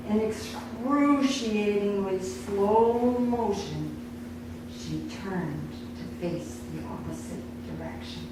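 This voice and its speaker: A woman reads fiction aloud in a very reverberant room, picked up some way from the microphone. Her delivery is slow, deliberate and dramatic, shaped as expressive storytelling at a live event. Clear background noise sits behind her. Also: medium pitch. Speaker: author reading own story. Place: indoor awards night event. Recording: echoey room, distant mic, noisy background.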